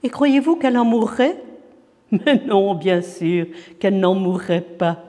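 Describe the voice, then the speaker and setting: A man with a high voice recites poetry dramatically. He goes very slowly, setting each phrase apart with deliberate pauses. The sound carries a long, hall-like reverberation. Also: animated, darkish tone.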